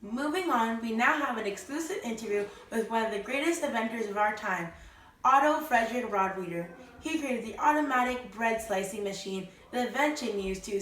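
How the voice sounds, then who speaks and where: A woman's voice: a little high, expressive, normal speed, fairly bright tone. A young woman acting as a chirpy, theatrical 1920s radio show host who uses old-time slang. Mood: gracious, chatty. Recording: roomy, distant mic, faint noise.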